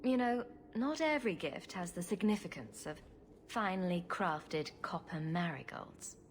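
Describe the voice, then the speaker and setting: A woman playing a sarcastic, quick-witted rogue heroine in a video game, with a wry manner. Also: lowish voice, lively intonation. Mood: teasing. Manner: wry, flippant, teasing. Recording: faint background ambience noise.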